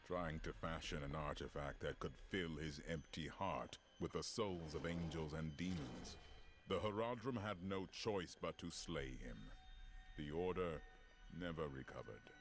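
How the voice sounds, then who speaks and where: A man's voice: extremely low pitch, unhurried. A man voicing an ancient, stern archangel of justice in a fantasy video game, grave and formal in delivery. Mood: stern, warning. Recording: dramatic music behind.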